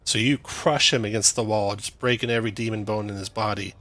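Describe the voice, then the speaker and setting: A man acting as game master, narrating a tabletop game in a relaxed, explaining way with fillers. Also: fairly low pitch, a little fast, no echo.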